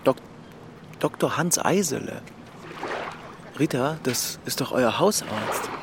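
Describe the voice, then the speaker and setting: A man's voice: medium pitch, expressive, slow, gravelly, noisy background. An actor playing a sympathetic, easily startled young man in a radio drama, with hesitant, stammering delivery. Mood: uneasy. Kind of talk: German radio drama acting.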